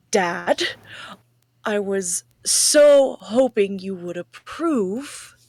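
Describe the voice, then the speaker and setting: A woman reading a smarmy young prince who passes himself off as someone else, in slow and lively fake-earnest tones at a live table read. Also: mid-range voice, vocal fry, slightly rough, no echo, exaggerated voice. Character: Prince Charming posing as Shrek, smarmy young man.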